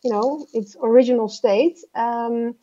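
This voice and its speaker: A woman's voice: fairly high pitch, a little slow, mellow, dull audio. A businesswoman guest, raised in Peru, introducing herself in a podcast interview with many hesitant fillers. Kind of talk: interview answer.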